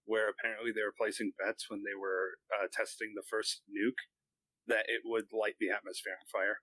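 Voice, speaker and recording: A man's voice: fairly low pitch, level tone, moderate pace, sharp tone, dry recording, rough recording. A man co-hosting a podcast with casual, jokey and irreverent banter. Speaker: podcast co-host.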